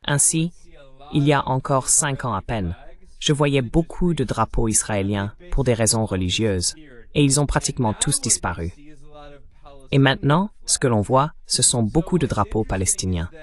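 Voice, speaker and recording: A man delivering a voice-over translation of political commentary in a measured, explanatory style. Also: medium pitch, lively intonation.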